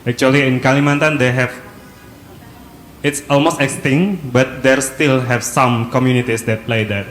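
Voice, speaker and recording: A man on a panel giving a halting answer with many uhs. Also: mid-range voice, steady tone, fairly bright tone. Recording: echoey hall, faint noise.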